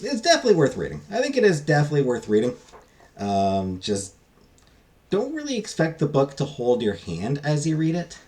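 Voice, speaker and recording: A man giving a casual, unscripted book review in a halting, rambling, self-deprecating way. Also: a little high, huge pitch swings, a little mellow.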